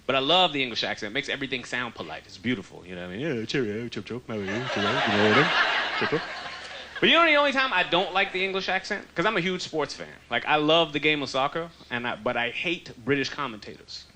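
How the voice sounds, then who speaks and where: A man in his thirties from Trinidad, raised in Canada, performing an animated, conversational stand-up comedy set on a handheld mic in a theater. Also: fairly high pitch, fast talker, hardly any pauses. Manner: animated, conversational, slangy delivery. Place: large theater stage before a live audience. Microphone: handheld stage mic. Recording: live theater audio with audience noise.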